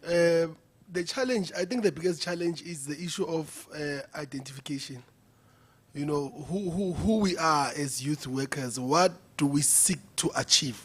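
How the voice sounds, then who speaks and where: A man's voice: fairly high pitch, moderate pace, slightly rough. A man giving a hesitant floor comment at a youth conference. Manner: hesitant, many fillers. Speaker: conference delegate. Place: conference venue.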